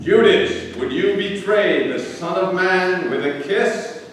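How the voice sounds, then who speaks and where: A man acting a grave, sorrowful holy teacher facing his betrayers in a scripture drama. The voice is high for a man and very slow, declaimed solemnly, ringing through a large echoing church. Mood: pained reproach. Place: large church during a service. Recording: very echoey church, distant mic, noisy.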